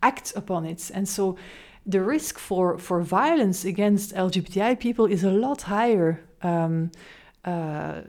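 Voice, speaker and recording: A woman's voice: mid-range voice, unhurried, a little mellow. A woman professor answering interview questions thoughtfully, with some fillers.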